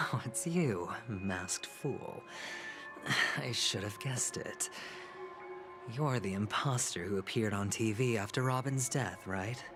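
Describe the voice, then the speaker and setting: An actor playing a smooth, sly young gambler in a video game, with a theatrical, calculating, teasing delivery. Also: male voice, fairly low pitch, lively intonation, takes it slowly, over music. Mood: amused, probing. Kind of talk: scripted video game dialogue.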